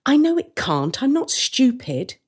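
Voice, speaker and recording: A woman voicing an earnest, easily exasperated young sister in a storybook tale, defensive and quick to bristle. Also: high voice, sing-song, slightly rough, pitched above the performer's own voice, full character voice. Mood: annoyed.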